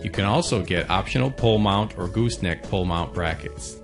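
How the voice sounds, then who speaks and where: A man reading scripted promotional narration in a measured, informative way, as for a product video. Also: deep voice. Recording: voice-over with music behind.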